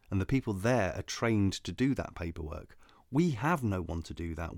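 Low-voiced man explaining earnestly. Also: no echo, clean studio sound. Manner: explains points in a steady, earnest way. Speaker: podcast co-host. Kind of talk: casual chat.

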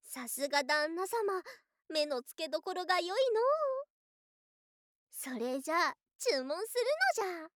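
A woman voicing a doting, excitable young lamia bride in an ASMR voice drama, speaking in archaic, old-fashioned phrases with girlish excitement. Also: high voice, normal speed, no room sound. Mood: cheerful, doting. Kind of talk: ASMR situation voice drama, addressed to the listener.

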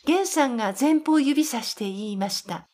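A woman's voice: sits high, expressive, normal speed, a little mellow. A woman narrator reading scripted storytelling narration for an audio drama.

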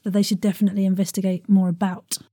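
Female podcast host, mellow tone, enthusiastic and playful, encouraging her guests, close dry recording. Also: medium pitch, little pitch movement, racing, no room sound. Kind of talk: enthusiastic casual chat interview.

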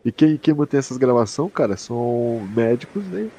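A man's voice: mid-range voice, expressive, normal speed, warm tone, no room sound. A young man with a Brazilian accent co-hosting a podcast in a casual, slangy and sweary way. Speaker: podcast co-host. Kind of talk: casual chat about a sci-fi audio drama.

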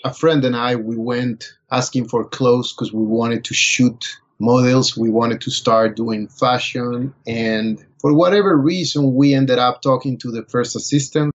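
A man from Colombia, speaking as a guest and telling his story in a relaxed, thoughtful way. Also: medium pitch, a little slow, hardly any pauses, highs cut off. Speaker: guest photographer. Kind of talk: casual interview answers.

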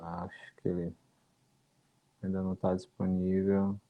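A man's voice: low voice, monotone, measured pace, no room sound, narrow, tinny audio. A man hosting a casual online live stream. He speaks hesitantly, often restarting and repeating words.

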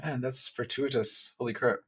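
A man from England hosting a podcast interview in a relaxed, chatty way with frequent fillers. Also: medium pitch, normal speed, husky. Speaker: podcast host. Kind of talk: casual interview chat.

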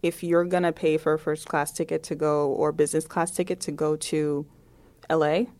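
A woman in her late twenties speaks in a slightly low register. She is chatty and informal, answering as a guest in a casual interview and scattering "like" through her sentences. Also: level tone, moderate pace, a little mellow. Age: late 20s. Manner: chatty, peppers talk with "like".

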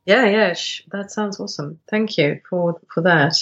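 A woman's voice: on the low side, normal speed, muffled recording. A South African woman developing an app, asking for advice in a casual call, hesitant with many fillers and restarts.

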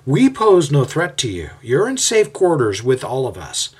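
A man's voice: medium pitch, huge pitch swings, normal speed, slightly rough, voice pushed up. Jaden, a man voicing a scheming mob leader. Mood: smooth persuasive. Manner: raised, calculated and coolly menacing. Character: scheming smooth-talking male mob leader.

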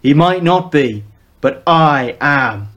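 A man voicing an older, domineering male clan patriarch with a gravelly, bassy northern English accent and a commanding manner. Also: lively intonation, takes it slowly, fairly bright tone. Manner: commanding, contemptuous.

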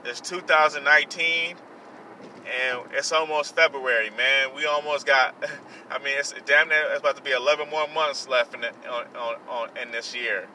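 Earnest young man, bright thin voice. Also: medium pitch, fairly even intonation, normal speed, distant mic, slight background noise, rough recording. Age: 20s. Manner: earnest, repetitive, self-reflective, with many fillers. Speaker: podcast host. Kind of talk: personal motivational monologue.

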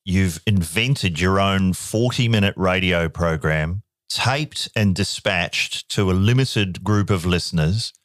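A man in his fifties, co-hosting a podcast in casual chat, wry and quick to laugh. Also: deep voice, fairly bright tone, no room sound, studio quality. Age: 50s. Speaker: podcast co-host.

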